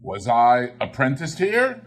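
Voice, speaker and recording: A man voicing an old man deeply moved by his past, exclaiming and musing in a storybook reading. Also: wildly swooping pitch, slow, faint music behind. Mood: excited.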